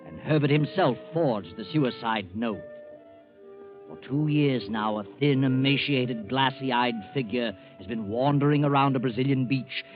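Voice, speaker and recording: A man's voice: medium pitch, lightly acted. A man playing a sardonic, ominous storyteller, narrating a 1940s radio mystery in a mocking, dramatic way. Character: sardonic, ominous storyteller the Whistler, gloating over doom. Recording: phone-like old radio recording.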